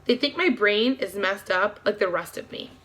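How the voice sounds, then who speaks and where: A woman voicing a dejected young girl in a storybook, quiet and terse. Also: medium pitch, expressive, normal speed, lightly acted. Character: dejected young girl who types to talk.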